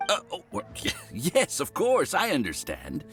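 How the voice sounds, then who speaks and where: A fawning, chuckling landlord. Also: male voice, wildly swooping pitch, measured pace, exaggerated voice. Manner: chuckling, obsequious, swoops in pitch. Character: jovial, fawning middle-aged male hotel owner. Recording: game voice track over soft music.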